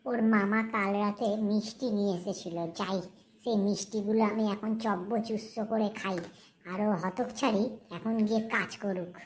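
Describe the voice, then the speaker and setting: A woman's voice: medium pitch, steady tone, moderate pace, darkish tone, lightly acted. A woman voicing a harsh, bossy aunt in a cartoon story, with a scolding, domineering manner. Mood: smug, lazy. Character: harsh, scolding, bossy aunt who overworks her niece.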